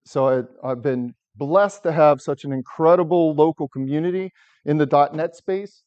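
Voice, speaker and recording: A middle-aged man from the United States giving a talk in a lecture room without a microphone, loud and engaging, asking the audience questions. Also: on the high side, clear, resonant tone, muffled recording. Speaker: software architect giving a talk. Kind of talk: conference talk to a live audience. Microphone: far camera, no microphone.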